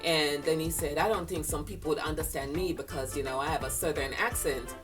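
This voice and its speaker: A woman's voice: deep voice, a little fast, distant mic, over music. An older woman with a Jamaican accent, chatting casually to camera with lots of fillers and a slightly stuffy, congested voice. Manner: chatty, rambling, with many fillers and laughs. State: congested sinuses. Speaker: fashion vlogger.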